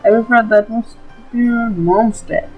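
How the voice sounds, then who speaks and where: A casual gaming YouTuber talking through play in a mid-pitched voice. The phrasing is informal and full of filler, with game music faintly behind. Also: measured pace, phone-quality sound. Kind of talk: casual let's-play commentary.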